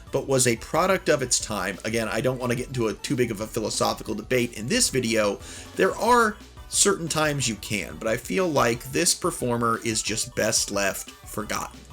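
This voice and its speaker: Male YouTube host, stuffy and nasal from flu, animated pitch, earnest scripted narration. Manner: earnest, opinionated asides between read passages. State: recovering from flu. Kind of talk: reading a scripted commentary on lost media.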